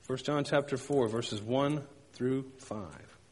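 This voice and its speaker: A man's voice: mid-range voice, takes it slowly, breathy edge, long reverb tail. A man delivering a sermon, telling personal stories with emphatic repetition and playful sound effects. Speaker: pastor.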